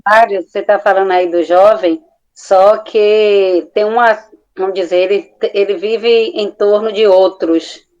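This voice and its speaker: A mature woman with a Brazilian accent, commenting informally in a group discussion, halting as she searches for words, on lo-fi audio. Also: medium pitch, steady tone, a little mellow. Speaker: study group participant.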